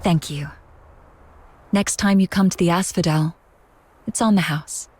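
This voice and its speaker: A woman voicing a shrewd, composed female tavern keeper in a video game, smooth-talking and self-assured. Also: lowish voice, unhurried, a little mellow. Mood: cool, businesslike.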